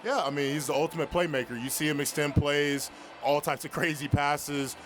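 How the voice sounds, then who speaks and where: A man's voice: on the high side, fairly even intonation, moderate pace. A man, a football player, giving a casual interview answer. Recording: noisy urban setting.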